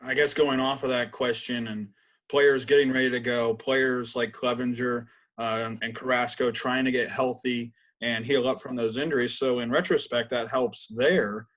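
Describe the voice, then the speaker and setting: A man with an average-pitched voice co-hosts a sports podcast. He speaks conversationally, framing questions for an interview guest. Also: fairly even intonation, normal speed, fairly bright tone.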